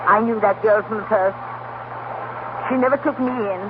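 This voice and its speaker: An actress playing a fussy, gossiping middle-aged aunt, chattering with theatrical self-importance in a 1930s radio play. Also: female voice, mid-range voice, moderate pace, natural voice. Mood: gossipy, indignant. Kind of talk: 1930s radio drama acting. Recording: noisy, tinny 1930s radio broadcast.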